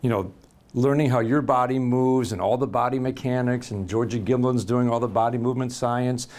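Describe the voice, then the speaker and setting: Chatty American sports broadcaster interviewing. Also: male voice, mid-range voice, steady tone, a little fast, darkish tone. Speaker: baseball play-by-play broadcaster and interviewer.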